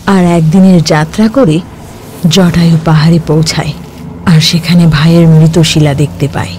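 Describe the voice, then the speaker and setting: Male narrator for an animated folk tale, steady and even, music low behind. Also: moderate pace. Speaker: storyteller narrator. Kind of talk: scripted storybook narration.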